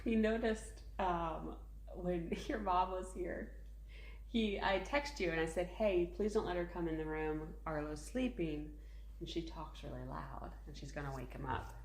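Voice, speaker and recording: A woman's voice: fairly low pitch, a little slow. Chatty woman in an echoey room. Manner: chatty, many "like" fillers, laughs often. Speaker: podcast co-host, mother. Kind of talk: casual chat.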